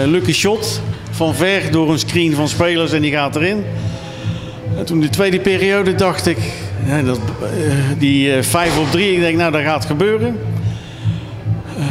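A man with a slightly high voice interviews in a chatty, informal post-game style. The room rings with reverb, and music is clearly audible behind him. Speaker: sports interviewer.

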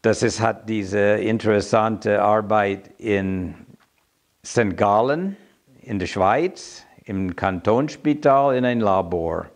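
A man in his seventies with an American accent and English as his first language, giving a reflective personal account and haltingly searching for words. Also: fairly low pitch. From United States. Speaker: interviewee telling his migration story. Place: quiet room indoors.

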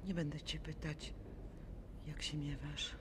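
A woman's voice: deep voice, flat delivery, unhurried, background noise. An actress playing a weary, reserved mother hiding her shame in an audio drama. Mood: subdued.

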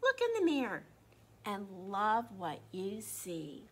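A woman's voice: medium pitch, huge pitch swings, slow, noisy background. A woman voicing a loving, soothing mother from a children's storybook, reassuring her child with tender emphasis. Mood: tender, admiring. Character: loving, soothing, admiring mother of a young boy.

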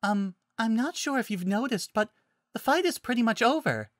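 A narrator voicing a timid, gentle, apologetic young female druid in a fantasy story, soft-spoken, nervous and hesitant. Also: medium pitch, animated, moderate pace, no room sound, studio quality, voice pushed up, natural voice. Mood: meekly polite. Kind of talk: audiobook-style fantasy story character.

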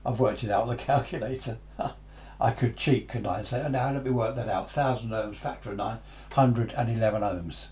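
A man's voice: medium pitch, normal speed, darkish tone. A man in his seventies giving an informal, unscripted hobby tutorial, chatting along with rambling asides. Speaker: amateur radio hobbyist presenting a tutorial. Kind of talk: informal unscripted explanation to camera. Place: small cluttered home radio room, indoors.

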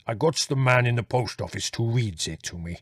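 A man voicing a big, helpful Greek man with a rumbling, gravelly voice and a Greek accent, speaking broken English in an audiobook story. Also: animated, fairly quick, fairly bright tone, no echo, studio quality. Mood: reassuring. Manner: broken English with extra plural endings.

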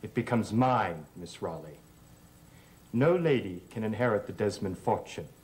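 An actor playing an insolent young cousin in a 1960s television soap, sardonic and mock-polite. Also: male voice, fairly low pitch, animated, moderate pace, darkish tone, lightly acted. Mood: sly, mocking. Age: young adult. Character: sly, insolent young cousin and would-be heir. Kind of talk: 1960s TV soap opera acting. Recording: vintage TV soundtrack with background music.

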